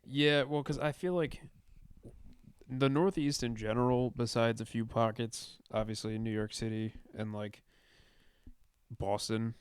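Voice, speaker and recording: Clear-voiced American man, irreverent podcast banter. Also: mid-range voice, steady tone, measured pace. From New England, United States. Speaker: podcast co-host. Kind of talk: casual comedic chat.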